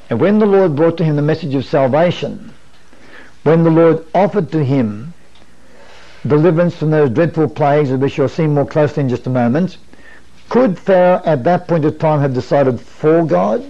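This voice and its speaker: A man's voice: a little high, dark tone. An older man leading a camp meeting Bible study in a wood-paneled room, asking his listeners questions and repeating their answers. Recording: dull lo-fi 1980s videotape.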